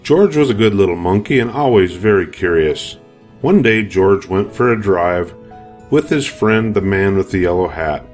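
An older man reading a children's picture book aloud as an expressive storyteller. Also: low voice, moderate pace, soft background music. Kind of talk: scripted narration, picture book read-aloud.

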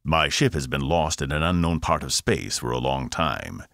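A man voicing a solemn, formal male alien who speaks slowly and with measured care. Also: extremely low pitch, animated, gravelly, fairly bright tone, no echo, clean studio sound, exaggerated voice.

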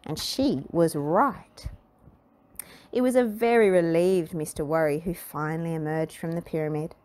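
A woman reading a children's storybook aloud in an expressive, deliberate way. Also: sits low. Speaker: storybook reader. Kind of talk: scripted children's storybook narration.

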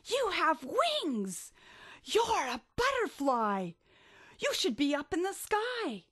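A woman's voice: very high-pitched, wildly swooping pitch, takes it slowly, fairly bright tone, no echo, clean studio sound, voice pushed up, exaggerated voice. A woman voicing a caring, bossy female snail who urges her friend on emphatically in a children's storybook. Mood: amazed. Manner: emphatic, urging.

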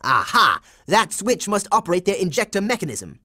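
A man's voice: high-pitched, very animated, normal speed, bright, forceful tone, heavily acted. A man voicing a bossy, heroic boy secret agent in a cartoon video game, dramatic and emphatic with a smug confidence. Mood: determined, musing.

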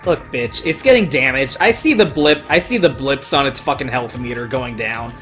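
Let's play host, casual commentary, profane, emphatic, joking, medium pitch, game music behind. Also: moderate pace, a bit bright. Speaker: gaming video host playing and commentating. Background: video game music.

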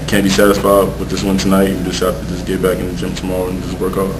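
A young man, a college basketball player, giving halting answers full of fillers at a press conference. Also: sits low, completely flat delivery. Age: young adult. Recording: distant mic with room noise.

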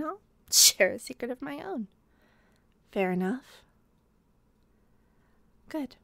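An obsessed female detective, acted by a woman in an intimate ASMR roleplay, toying with a captive. Her voice is rather high yet breathy, with creaky edges, and she pauses deliberately as she teases. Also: pushed and bright, no room sound, exaggerated voice. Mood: sly, probing. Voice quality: breathy, whispery. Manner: slow, deliberate, teasing, pausing.

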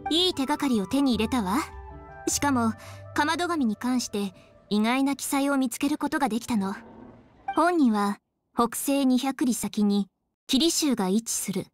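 A woman's voice: sits high, expressive, moderate pace, faint music behind. An actress playing a polite, composed young female scholar in an anime game, speaking in an articulate, explanatory way. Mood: studious, explaining.

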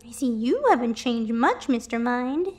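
A woman's voice: a little high, lively intonation, moderate pace, darkish tone, faint music behind. A woman voicing a spirited, unimpressed pony ex-agent with a dry, sardonic manner in an audio drama.

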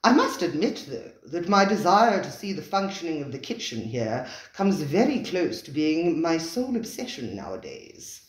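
Storybook gentleman gourmet, voiced by a narrator: earnest, effusive, formal, lively intonation, roomy sound. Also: mid-range voice, normal speed, hardly any pauses, natural voice. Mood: wistful. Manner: formal, persuasive, effusive speech. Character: eager, solemn male gourmet devoted to a restaurant.

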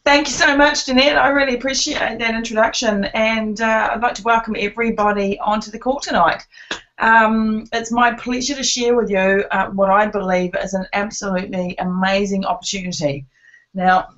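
A woman presents on a webinar, her voice a little croaky from a cold. She talks earnestly and persuasively, dotting her pitch with ums and uhs. The call audio is muffled and lo-fi. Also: fairly bright tone. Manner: earnest, persuasive, many fillers. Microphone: conference call line.

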